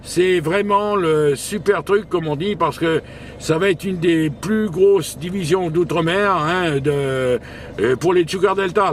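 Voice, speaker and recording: A man with a slightly high voice talks as an amateur radio operator in a casual exchange. He speaks haltingly, often repeating his words. A faint layer of noise sits around the voice. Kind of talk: casual radio contact chat.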